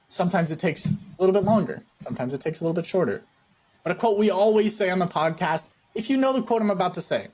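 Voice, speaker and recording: A man's voice: on the high side, slightly rough. A man co-hosting a podcast, talking in a casual, encouraging, motivational way. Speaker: podcast co-host.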